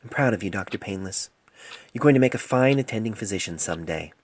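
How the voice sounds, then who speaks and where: A man voicing a stern, grieving middle-aged female pony doctor in an audio storybook, grave and measured, on an amateur phone recording. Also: on the low side, expressive. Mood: soft, weary. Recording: noisy amateur cell phone mic recording.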